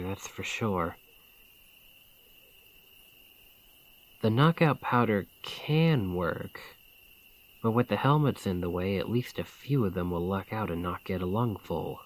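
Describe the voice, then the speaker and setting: A man acting a bandit leader in an ASMR fantasy roleplay, speaking in a colloquial, deliberate, conspiratorial manner. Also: low-pitched. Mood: pondering. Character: gruff but caring male bandit leader, scheming, informal. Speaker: voice actor in audio roleplay.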